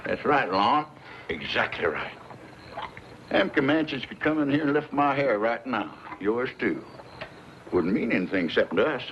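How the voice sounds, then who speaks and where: A man acting as a seasoned frontier scout with an American accent and a lively, swinging pitch. He is gruff, commanding and folksy, in radio western style. The recording is dull and old, with faint noise. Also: a little slow. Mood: firm.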